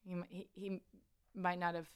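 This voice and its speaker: Smooth-voiced woman, friendly musician guest. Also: lowish voice, little pitch movement, moderate pace. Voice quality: slight grit in the voice. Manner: friendly and rambling, with frequent laughs. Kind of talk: casual interview chat. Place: radio studio.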